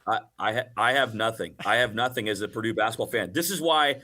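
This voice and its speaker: Bright-voiced man talking sports. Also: lowish voice, quick pace. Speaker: sports radio guest, hockey play-by-play announcer. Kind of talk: casual sports radio interview.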